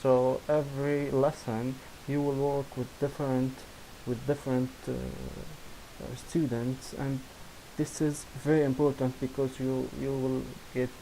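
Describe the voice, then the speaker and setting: A young man from Syria with an Arabic accent, a non-native English speaker, demonstrating his student project in halting speech full of restarts and fillers. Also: mid-range voice, little pitch movement, gravelly, mellow, a little hiss or hum, low-quality recording. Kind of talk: screen-recorded software demo.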